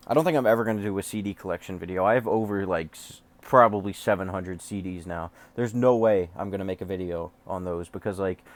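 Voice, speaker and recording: A man talking casually and offhandedly as a collector showing off his things, rambling and swearing freely. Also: low-pitched. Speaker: music collector showing off cassette tapes.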